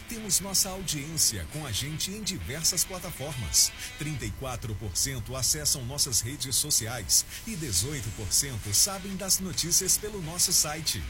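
A man reading a persuasive scripted radio promo. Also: fairly high pitch. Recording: clear music bed behind.

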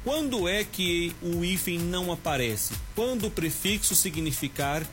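A man teaching a short radio grammar lesson, explaining slowly and deliberately. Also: high voice, animated, a bit bright, soft background music.

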